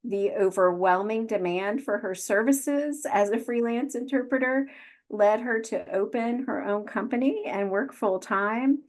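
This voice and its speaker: A woman hosting a webinar on a video call, introducing guests with hesitant pauses and frequent ums. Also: fairly high pitch. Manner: slow, hesitant with many ums. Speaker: webinar host.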